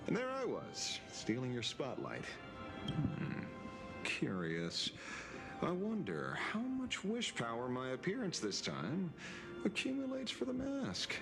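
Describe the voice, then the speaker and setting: A man's voice: on the high side, huge pitch swings, slow, creaky, full character voice. A man voicing a wry, world-weary older drifter ranger in a video game, drawling, theatrical and teasing. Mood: playful, sly. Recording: loud game music behind the voice.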